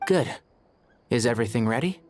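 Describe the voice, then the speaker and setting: An actor playing a steady, serious, reassuring male leader in a video game, composed and brief in his speech. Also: medium pitch, a little fast, soft background music, lightly acted.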